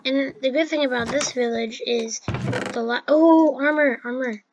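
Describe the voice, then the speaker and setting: A woman's voice: high voice, moderate pace, background noise. A woman giving casual, chatty commentary over her own gameplay, with fillers and restarts. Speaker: gameplay narrator.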